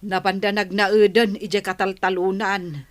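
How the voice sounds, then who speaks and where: A woman's voice: mid-range voice, flat delivery, a little slow, close to an everyday voice. A woman playing a blunt, resentful housewife who complains, in a radio comedy drama. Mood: complaining.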